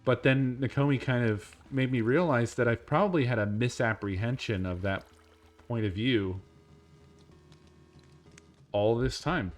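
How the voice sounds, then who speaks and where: A man with a deep, monotone voice, talking in an unhurried, casual way while he streams a game. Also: faint music behind.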